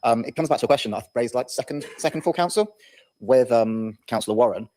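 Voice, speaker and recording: A man's voice: mid-range voice, quick pace, warm tone. A man, a local councillor, making a matter-of-fact, practical point in a council meeting. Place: council chamber.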